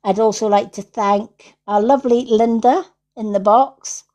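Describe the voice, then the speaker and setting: A woman's voice: on the high side, fairly even intonation, a little slow, dark tone. A Scottish woman giving casual spiritual readings on a livestream as its host, in an affirming way, often checking in with "right?". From Scotland. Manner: affirming, repeats herself, tags with "right?". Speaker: psychic medium hosting a livestream.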